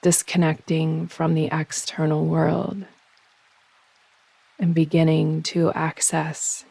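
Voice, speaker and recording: A woman guiding a yoga nidra meditation in slow, soothing instruction, with measured, unhurried phrasing and pauses. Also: deep voice, flat delivery, gravelly, dark tone, breathy edge, dry recording.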